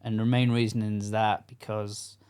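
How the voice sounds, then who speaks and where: A man's voice: fairly low pitch, little pitch movement, moderate pace, a little mellow, smooth. A middle-aged Scottish man talking casually as a podcast guest, earnest and frank with many fillers and restarts. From Scotland. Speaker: podcast guest, coach to construction workers. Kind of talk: casual interview chat.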